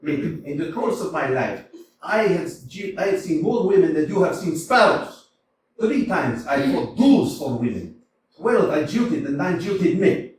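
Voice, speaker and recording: An amateur actor playing a blustering, scornful landowner in a staged play reading, swinging between shouting and mock-tender crooning. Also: male voice, big pitch swings, a little slow, slight background noise. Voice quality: booming, theatrical. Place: small community theater stage. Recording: echoey hall from a distance.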